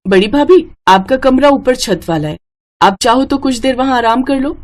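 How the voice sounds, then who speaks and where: A woman's voice: sits high, normal speed, voice pushed up, natural voice. A woman voicing a polite, helpful young sister-in-law in a family story, chatty and respectful.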